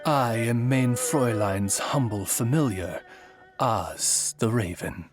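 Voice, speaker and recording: A man's voice: medium pitch, faint music behind, close to an everyday voice. A man voicing an erudite raven familiar in a video game, formal and butler-like, with dry politeness. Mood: courteous. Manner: formal, measured, politely wry. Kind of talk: game cutscene dialogue.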